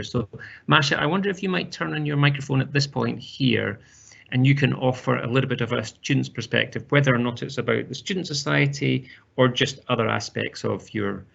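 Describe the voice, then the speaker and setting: A man's voice: medium pitch, gravelly, fairly bright tone, slightly rough, muffled recording. A man giving an open day presentation as a university lecturer, formal, with frequent restarts. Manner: formal, with repeated words and restarts.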